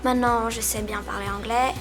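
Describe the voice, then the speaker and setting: A woman's voice: on the high side, fairly even intonation, fairly quick. A teenage girl student speaking hesitantly about her classes, with many fillers. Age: teens. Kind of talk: interview. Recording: music clearly underneath.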